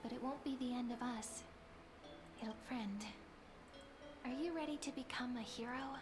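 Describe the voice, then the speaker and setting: A woman's voice: on the high side, normal speed, over music, natural voice. A woman voicing a tender, hopeful young companion in a video game, encouraging and playful in manner. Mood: gently playful. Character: gentle, affectionate, hopeful young female companion.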